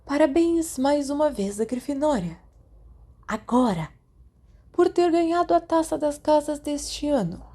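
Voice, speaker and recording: A woman voicing a genial old male wizard headmaster giving grand, measured speeches in a storybook reading. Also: sits high, expressive, mellow, voice pushed up. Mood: proud, genial.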